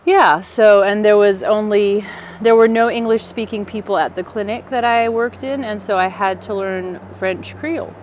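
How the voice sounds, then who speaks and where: A woman's voice: medium pitch, fairly even intonation, normal speed, slight background noise. A woman whose first language is a Pennsylvania Dutch German dialect, chatting casually as she hosts a family garden vlog. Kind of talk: casual outdoor chat while gardening. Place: outdoors in a vegetable garden.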